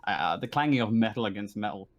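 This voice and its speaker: Male game master, slightly deep voice, halting improvised narration for a tabletop RPG. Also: lively intonation, lo-fi sound. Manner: halting, searching for words.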